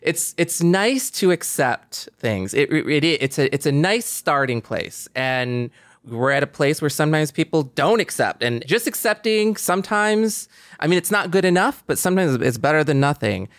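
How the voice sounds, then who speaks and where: A man's voice: a little high, big pitch swings, moderate pace, fairly bright tone, no echo, studio quality. A man co-hosting a podcast, discussing things reflectively and earnestly, repeating words as he thinks. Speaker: podcast co-host.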